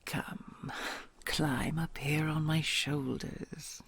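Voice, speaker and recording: A woman voicing a kind, ancient queen dragon who whispers softly and gently, amused and patient, in an audio roleplay. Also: low-pitched, level tone, exaggerated voice. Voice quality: breathy whispery, gravelly. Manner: slow soothing, amused, patient.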